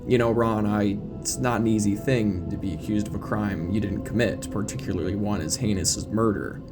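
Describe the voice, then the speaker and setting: A man's voice: fairly low pitch, a little fast, voice pushed down, close to an everyday voice. An affable, wronged man being interviewed on television as a male reader plays him in an audio drama. He is hesitant, folksy and wry. Music sits under the voice. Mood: earnest.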